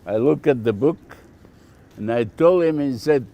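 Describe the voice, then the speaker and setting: A man's voice: mid-range voice, animated, measured pace, dull audio. An older man from Bulgaria with a Bulgarian accent, telling anecdotes casually and plainly as a shopkeeper being interviewed. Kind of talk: casual oral storytelling.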